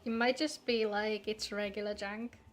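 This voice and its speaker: A woman's voice: mid-range voice, fairly even intonation, moderate pace. A woman chatting casually on a live game stream, with music playing behind her voice. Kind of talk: casual livestream chat mixed with reading game dialogue aloud. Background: background music.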